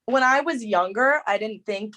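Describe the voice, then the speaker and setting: A woman's voice: on the high side, moderate pace, bright, forceful tone. A young woman from New Jersey with a Jersey accent, chatting as an interview guest and filling her talk with "like". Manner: chatty, lots of "like" fillers. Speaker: guest, social media creator. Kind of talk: casual interview chat.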